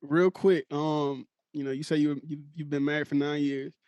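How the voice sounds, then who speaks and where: A man's voice: a little high, little pitch movement, moderate pace, a little mellow, smooth, dry recording. Laid-back man hosting. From Georgia, US. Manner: laid-back slang, lots of fillers. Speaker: podcast host. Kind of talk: casual podcast chat.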